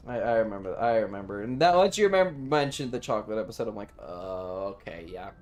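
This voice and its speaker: A man's voice: on the low side, lively intonation, fast, darkish tone. A man live streaming a game, chatting casually in a rambling, sweary way. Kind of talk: casual gaming stream chat. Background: game music.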